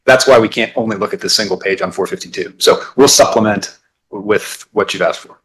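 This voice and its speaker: A man's voice: lowish voice, brisk, a bit bright, low-quality recording. A man speaking as a lawyer, making formal remarks to the court. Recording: noticeable room noise.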